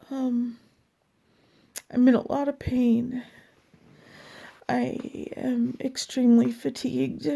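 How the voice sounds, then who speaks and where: Hoarse, husky-voiced woman vlogger, very unhurried, speaking haltingly and wearily about her health. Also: fairly high pitch, warm tone. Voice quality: raspy. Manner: slow, halting and tired, with many pauses and fillers. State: hoarse from a lasting cough. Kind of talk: personal health update.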